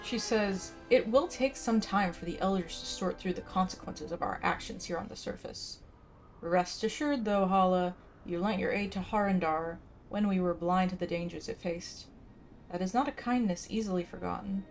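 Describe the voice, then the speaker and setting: A woman's voice: fairly low pitch, normal speed, a little mellow. A woman hosting a casual game playthrough, chatting and reading quest text aloud. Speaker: gaming video host. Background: game music.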